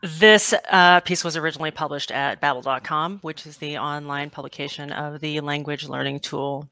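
A woman in her forties from Taiwan, reading her own personal essay aloud on a video call through a headset mic, with sudden lifts in pitch. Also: steady tone, moderate pace, sharp tone, dry recording. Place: home room with bookshelves, indoors.

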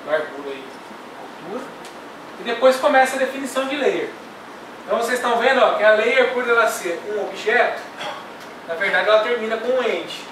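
A middle-aged man teaching a technical workshop, explaining step by step and checking in with "tá?". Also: very high voice. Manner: explains step by step, ends phrases with "tá?". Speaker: workshop instructor. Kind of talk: technical classroom lecture. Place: classroom with projector screen. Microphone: far camera. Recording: echoey room from far off, noisy.

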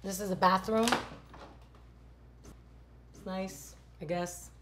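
A woman in her thirties from New York, giving a casual, playful house tour vlog in a bright home, recorded on a camera mic. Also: medium pitch, fairly even intonation, background noise. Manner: chatty, playful, drawn-out asides. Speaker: vlog host.

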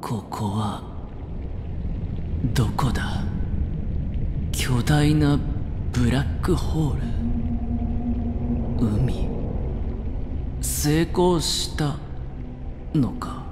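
A man voicing a young, wry, weary gambler in a game, halting and musing. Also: fairly high pitch, heavy background noise. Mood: dazed, uncertain. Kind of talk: Japanese game voice acting.

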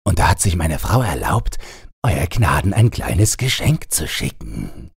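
A man's voice: deep voice, sing-song, a little slow, gravelly, husky, dry recording, studio quality, full character voice. A man voicing a humble, fawning peasant in a storybook, speaking deferentially. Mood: humble, ingratiating.

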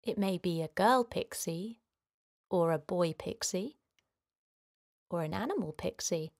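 Female children's meditation host. Gentle, simple delivery, very slow pace, lowish pitch. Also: expressive. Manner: gentle, soothing, simple instructions with pauses. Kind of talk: guided relaxation for children.

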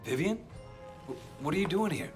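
An actor playing a tough undercover cop who is blunt and swears freely. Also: male voice, on the high side, sing-song, normal speed. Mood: subdued. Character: tough, hot-tempered male undercover cop. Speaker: undercover police officer. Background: game score music.

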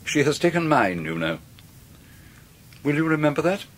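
A man's voice: on the high side, big pitch swings. A cold, stern English stepfather. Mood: quiet menace. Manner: clipped, stern, deliberately polite. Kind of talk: radio drama acting.

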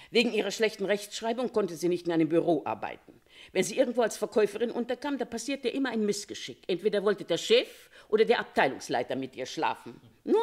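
An actress in a German radio crime play voicing a talkative, gossipy Paris concierge who tells things in a chatty, confiding, opinionated way. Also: female voice, mid-range voice.

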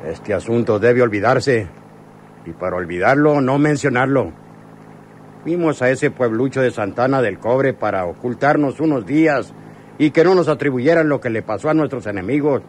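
A man's voice: mid-range voice, expressive, moderate pace, slight background noise, close to an everyday voice. A man playing an arrogant, callous outlaw leader in a radio serial, domineering and scornful. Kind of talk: radionovela acting.

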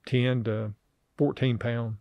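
A middle-aged man, a podcast guest talking casually and haltingly about fishing, full of fillers and restarts. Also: medium pitch, level tone, no room sound, highs cut off. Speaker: podcast guest, river angler. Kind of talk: casual interview chat. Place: small office studio, indoors. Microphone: desk podcast mic on boom arm.

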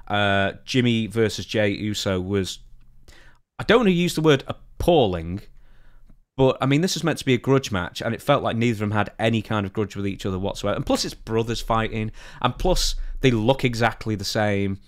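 A man's voice: lowish voice, expressive, normal speed. A man hosting a podcast, giving opinions in casual, informal chat. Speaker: podcast host.

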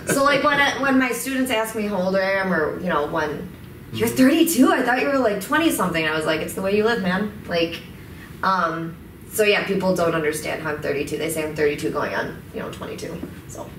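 A woman's voice: fairly low pitch, animated, fairly quick, a bit bright. Chatty woman in her thirties, roomy sound. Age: 30s. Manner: upbeat, chatty, laughs easily. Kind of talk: casual office talk show chat. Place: office lounge set with sofas. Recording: roomy, distant mic with faint noise.

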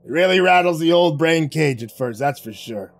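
Man as booming chummy boss, loud and casual. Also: lively intonation, moderate pace. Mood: breezy. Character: loud breezy male boss over a speaker.

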